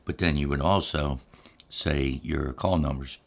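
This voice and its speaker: A middle-aged or older American man with a deep, low voice, reminiscing casually in a laid-back, rambling ASMR storytelling style with frequent pauses. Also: vocal fry.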